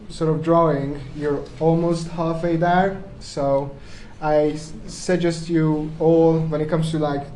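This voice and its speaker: A man's voice: on the high side, mellow, slight background noise. A young man, a university tutor, speaking hesitantly with many fillers and repeated yeahs as he chats and then explains a problem to his class. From Hungary. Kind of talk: casual chat, then tutorial teaching. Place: echoey classroom. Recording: roomy classroom with crowd chatter.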